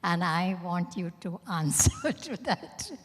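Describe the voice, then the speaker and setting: A woman's voice: on the low side, very animated, slow pace. Woman chatting in an echoey hall. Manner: chuckles as she talks. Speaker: book editor, panel speaker. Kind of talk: animated conversational anecdote at a live literary event. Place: large indoor event hall. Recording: echoey hall with long reverb.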